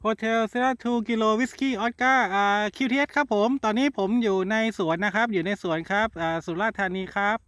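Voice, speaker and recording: A man's voice: high-pitched, fast, hardly any pauses, a bit bright, no room sound, clean studio sound. A man in a casual amateur radio exchange, polite and hesitant, repeating his words. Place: outdoors in a garden.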